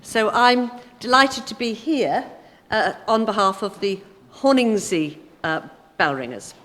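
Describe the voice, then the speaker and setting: A woman speaking formally and deliberately to an audience as a guest poetry reader. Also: medium pitch, expressive, fairly bright tone. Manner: deliberate, careful delivery. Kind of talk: formal introduction and poetry recital. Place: inside a church at a live event. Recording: long church reverb.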